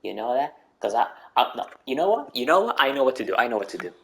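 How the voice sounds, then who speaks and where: A man's voice: mid-range voice, big pitch swings, fast talker, vocal fry. A man doing casual gameplay commentary with joking asides, bantering and repeating himself. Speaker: game streamer.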